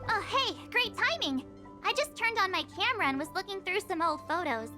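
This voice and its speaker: A woman's voice: very high voice, very animated, brisk, over music. An actress voicing a perky, enthusiastic teenage girl in a video game, bubbly and chatty. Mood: cheerful, chatty. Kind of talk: scripted game dialogue.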